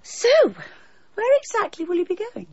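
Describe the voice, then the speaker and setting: An English actress playing a kindly, flustered village vicar's wife in a radio drama, fussing and solicitous. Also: female voice, very high voice, animated, normal speed, no room sound, lo-fi sound. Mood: hesitant.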